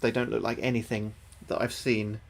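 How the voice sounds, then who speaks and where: A man's voice: fairly low pitch, flat delivery, moderate pace, vocal fry. A young man livestreaming a game, thinking aloud to his chat, sweary and repeating himself. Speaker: livestreaming gamer. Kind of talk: casual gameplay commentary to chat.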